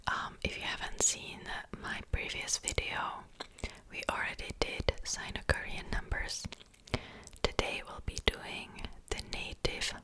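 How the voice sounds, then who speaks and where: A young woman with a Czech accent, whispering a slow, repetitive ASMR language lesson. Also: poor audio. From Czech Republic. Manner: soft whisper, slow and repetitive drilling of words. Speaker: ASMR creator teaching Korean.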